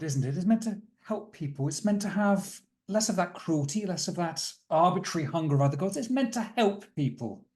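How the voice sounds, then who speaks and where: A man's voice: high voice, a little mellow, voice pushed up. An exasperated disciple-doctor played by a man in a tabletop game. The voice climbs well above an everyday male register as he rants in aggrieved, rhetorical bursts. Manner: rhetorical, indignant ranting. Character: exasperated doctor and religious disciple throwing a tantrum. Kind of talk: in-character tabletop roleplay.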